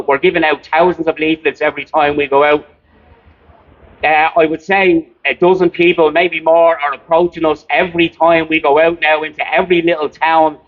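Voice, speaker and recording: An Irish man giving an earnest, emphatic public protest speech as a campaign volunteer, repeating his key phrases for weight. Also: high-pitched. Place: outdoors outside a hospital. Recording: thin phone-like outdoor recording.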